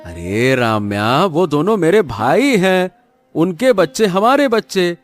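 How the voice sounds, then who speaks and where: A man acts a poor village farmer in a cartoon drama, high-voiced and swinging widely in pitch. He is trusting yet stubborn and argues in homely colloquial speech, over faint music. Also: slow, full character voice. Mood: exasperated. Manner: animated, colloquial remonstrating.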